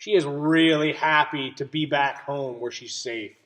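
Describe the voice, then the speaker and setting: Male read-aloud teacher: lighter, raised pitch, leisurely delivery, explanatory, asking young listeners questions. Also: measured pace, fairly bright tone.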